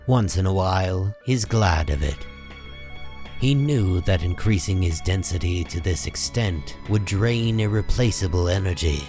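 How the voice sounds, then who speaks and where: A man narrating a comic book story in a grave, measured, dramatic style. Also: sits low, lively intonation. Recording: music under the voice.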